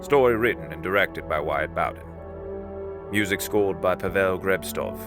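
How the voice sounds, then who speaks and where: A man's voice: sits low, normal speed. A man reading scripted credits as an announcer. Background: music.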